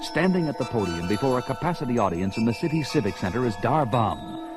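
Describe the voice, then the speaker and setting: A man delivers dramatic comic-book narration in a mid-pitched voice. Prominent music plays underneath as he tells the superhero story. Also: big pitch swings, fast talker.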